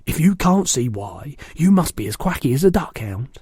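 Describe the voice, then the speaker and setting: A man's voice: fairly high pitch, sing-song, moderate pace, dark tone, husky, no echo, clean studio sound. A man voicing a big, friendly old giant in a storybook, exuberant and indignant, exclaiming emphatically in muddled words and grammar. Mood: exasperated.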